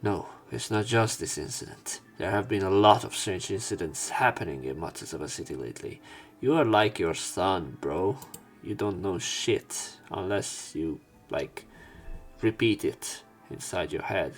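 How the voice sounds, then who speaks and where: Low male voice, visual novel let's play, read-aloud narration, sardonic casual asides, clear music behind. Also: expressive, a little slow. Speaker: let's player.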